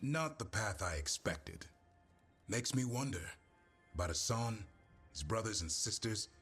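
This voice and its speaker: A man playing a gruff, stoic but caring warrior and creature keeper in a video game cutscene, terse and dryly humorous. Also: on the low side, lively intonation, measured pace, fairly bright tone, full character voice. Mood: reflective, uneasy. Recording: music behind.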